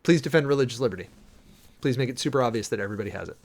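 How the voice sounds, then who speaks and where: Man, mid-range pitch, livestream host, casual commentary, opinionated, informal, emphatic repetition. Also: lively intonation, very fast. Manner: informal, opinionated, emphatic, repeating phrases for stress. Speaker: live streamer hosting a political commentary show.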